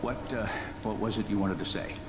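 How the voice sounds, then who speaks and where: An actor playing an earnest, fussy doctor in a video game, courteous and excitable, often repeating his words. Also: fairly low pitch, brisk, natural voice. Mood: polite, busy. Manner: hesitant, repetitive, courteous, excitable. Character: earnest, fussy male doctor researching a cure. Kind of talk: video game cutscene acting. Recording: echoey room, loud music behind.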